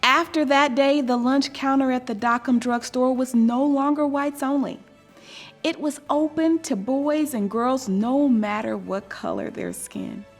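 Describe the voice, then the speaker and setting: A woman narrates a children's story with a deliberate, expressive delivery. Her voice sits somewhat high and she takes her time over each phrase, giving it a dramatic shape. Soft music plays faintly alongside her. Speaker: storybook reader. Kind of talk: scripted children's story read aloud.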